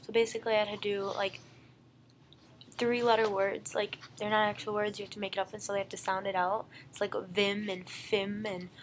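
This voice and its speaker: A woman's voice: mid-range voice, little pitch movement, a little fast, slight background noise. A young woman, a student teacher, chatting casually about her days, with plenty of "like" and "um" and easy laughter. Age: young adult. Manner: chatty, lots of "like" and "um", laughs easily. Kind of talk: casual chat.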